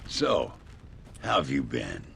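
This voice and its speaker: An actor playing a jaded, sarcastic Old West gunman in a video game, with a gravelly drawl. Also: very deep voice, wildly swooping pitch, takes it slowly, background noise, heavily acted. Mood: sardonic. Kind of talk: dramatic game cutscene acting.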